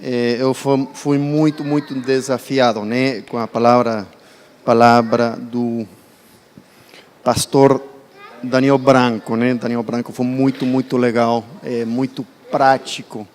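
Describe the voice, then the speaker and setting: A man's voice: medium pitch, level tone, slow pace, a little mellow, slight background noise. Man preaching in a church hall. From United States. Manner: conversational, asks the congregation questions. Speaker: guest preacher. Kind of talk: sermon.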